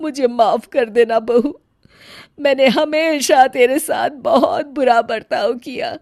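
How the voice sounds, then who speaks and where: Woman acting a stern cartoon mother-in-law, high-pitched, slow-paced. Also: no room sound, studio quality. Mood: grateful, remorseful. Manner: sharp, bossy, scolding. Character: stern, scolding middle-aged Indian mother-in-law. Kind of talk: Hindi moral-story cartoon acting.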